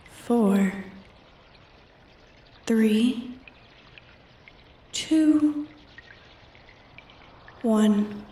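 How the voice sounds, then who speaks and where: A woman's voice: fairly high pitch, mellow. A woman playing an ancient, arrogant female dragon in a roleplay ASMR, haughty and taunting, often sinking toward a whisper. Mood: quiet menace. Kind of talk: roleplay ASMR, scripted dramatic monologue. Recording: echoey, distant from the mic.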